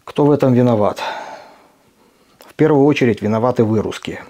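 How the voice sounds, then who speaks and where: A middle-aged man from Ukraine who runs a video blog. He gives an impassioned address straight to camera, speaking earnestly and emphatically with deliberate pauses. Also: mid-range voice, dark tone. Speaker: video blogger.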